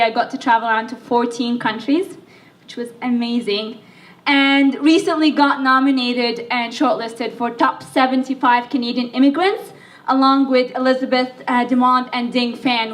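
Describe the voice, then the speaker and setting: Chatty high-voiced woman, heard from afar. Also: fairly bright tone. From Libya. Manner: chatty, self-deprecating, with fillers. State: sick. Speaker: speaker. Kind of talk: speech to an audience. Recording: voice from far off the mic.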